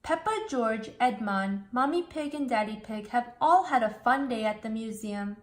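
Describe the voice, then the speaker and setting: A woman reading a children's storybook aloud, slowly, clearly and expressively. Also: on the high side. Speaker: storybook reader.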